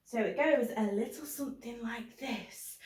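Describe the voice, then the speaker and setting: High-pitched woman hosting for children, roomy echo. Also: a little slow, darkish tone. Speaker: children's book club host. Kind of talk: children's presenting. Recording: roomy, echoing sound.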